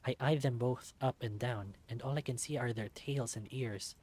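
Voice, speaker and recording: A man's voice: mid-range voice, moderate pace, a little mellow. A man reading a visual novel aloud in dramatic first-person narration.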